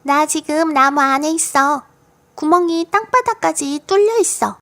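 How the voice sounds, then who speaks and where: A woman's voice: high voice, lively intonation, measured pace, fairly bright tone, heavily acted. A woman voicing a cheeky, confident little girl full of fanciful tales in a children's storybook audiobook. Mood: curious, carefree. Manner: matter-of-fact, playful tall-tale telling.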